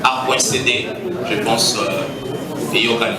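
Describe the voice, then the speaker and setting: A man moderates a press conference, briskly thanking speakers and calling on the next outlet. He sounds far from the mic in a roomy space. A loud crowd carries on behind him. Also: medium pitch, steady tone. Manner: brisk, thanking and handing over.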